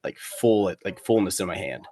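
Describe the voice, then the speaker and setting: Low-pitched man chatting haltingly. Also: lively intonation, mellow, slightly rough, dry recording. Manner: halting, rambling, slangy. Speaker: guest, competitive cornhole player. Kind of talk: casual podcast chat.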